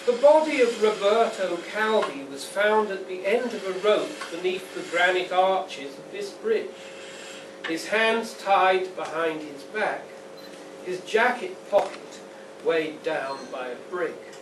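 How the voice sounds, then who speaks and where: Slow, high-pitched man reading poetry, roomy recording. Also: animated. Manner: measured, deliberate, declamatory delivery. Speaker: poet reading own work. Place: indoor venue at a launch reading. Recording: roomy, distant, noisy live event recording.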